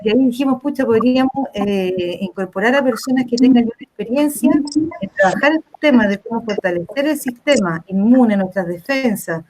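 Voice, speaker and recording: A woman's voice: a little high, a little mellow, slight background noise, poor audio. A woman with a Chilean accent moderating an online panel, talking casually with many fillers and restarts. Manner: casual, filler-heavy, fast with pauses. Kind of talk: meeting introduction.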